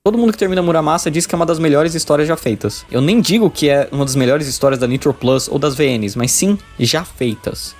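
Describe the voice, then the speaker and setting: A man's voice: a little high, fast, hardly any pauses, darkish tone. A man with a Brazilian accent narrating a scripted but informal, profane video essay. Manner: casual, profane. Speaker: video essayist reviewing visual novels. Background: background music.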